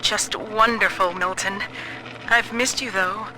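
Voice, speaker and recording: A woman playing a computer that imitates a doting wife in a video game, slow, sweet and eerily placid. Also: mid-range voice, fairly even intonation, sharp tone, slight background noise, low-quality recording. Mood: eerily affectionate.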